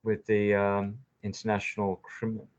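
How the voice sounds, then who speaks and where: A man's voice: fairly low pitch, completely flat delivery, normal speed. A man hosting a conversational interview, giving emphatic, impassioned opinions. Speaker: podcast host. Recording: dull lo-fi audio.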